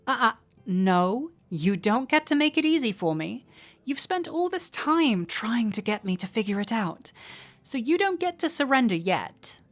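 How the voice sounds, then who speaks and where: A woman playing a flirty, warm-hearted tavern innkeeper in an intimate roleplay audio drama, teasing coyly and playfully. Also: medium pitch. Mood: teasing, amused. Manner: coy, playful, unhurried teasing.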